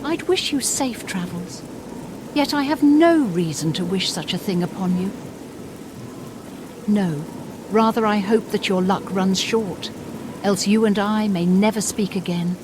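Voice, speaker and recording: A woman's voice: medium pitch, a little slow, noisy background, close to an everyday voice. A woman voicing a bitter, damned female spirit in a fantasy game, speaking in a solemn, theatrical and formal way. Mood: cold, ominous. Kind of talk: dramatic fantasy game dialogue.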